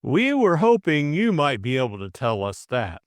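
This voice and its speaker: Man playing a probing, raised-pitch police superintendent. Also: wildly swooping pitch, no echo, studio quality. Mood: measured. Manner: probing, deliberate. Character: big, quiet male police superintendent, methodically questioning. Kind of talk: audiobook character voice.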